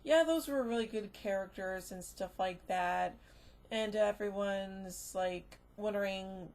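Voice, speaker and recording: A woman giving a casual, unscripted review, rambling and halting as she goes, often trailing off into filler phrases. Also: medium pitch, a little mellow. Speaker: movie reviewer.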